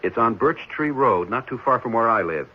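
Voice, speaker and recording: A man's voice: medium pitch, animated, moderate pace, close to an everyday voice. A man playing a concerned, thoughtful and somewhat puzzled ordinary man in a 1970s radio drama, speaking conversationally. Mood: concerned, obliging. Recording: dull, slightly noisy 1970s radio recording.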